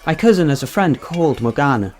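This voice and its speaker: A man's voice: fairly high pitch, expressive, quick pace, a little mellow, lightly acted. Man acting an earnest, muddled young-sounding underling. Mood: earnest, confused. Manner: hesitant, literal-minded, rambling. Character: Bedwyr, earnest muddled male underling to a knight. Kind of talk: comic radio play acting.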